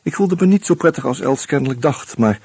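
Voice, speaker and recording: An actor playing a wry first-person narrator in a Dutch radio drama, telling the story in a dry, measured way. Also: male voice, medium pitch, expressive, a little mellow, slight background noise, natural voice. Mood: wry, matter-of-fact.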